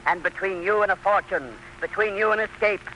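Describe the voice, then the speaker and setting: A man's voice: high-pitched, fairly even intonation, brisk, sharp tone, slight background noise, low-quality recording. A man narrating an ominous, emphatic teaser in old-time radio style. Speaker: radio announcer.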